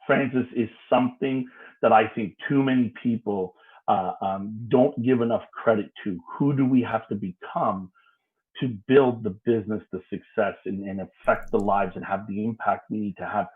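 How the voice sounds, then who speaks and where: A man in his forties talking as a guest on a business podcast, emphatic and animated, laughing easily between points. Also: lowish voice, moderate pace, narrow, tinny audio. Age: 40s. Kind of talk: casual podcast conversation.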